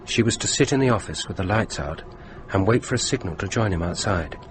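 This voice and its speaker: An actor with a British accent playing a morbidly curious, needling stranded traveller in a radio drama. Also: male voice, on the low side, expressive, brisk, background noise, natural voice. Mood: dry, morbid.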